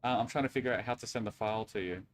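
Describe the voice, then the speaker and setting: Man with a Portuguese-Australian accent. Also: lowish voice. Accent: mixed Portuguese and Australian. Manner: chatty, halting with restarts. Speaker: streamer host. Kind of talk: casual multiplayer chat.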